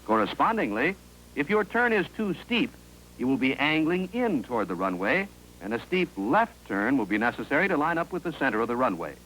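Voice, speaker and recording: An American man reading scripted narration for a 1950s instructional film in a clear, authoritative, instructive manner, on an old film soundtrack. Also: mid-range voice, sing-song, a little fast, fairly bright tone, a little hiss or hum, rough recording.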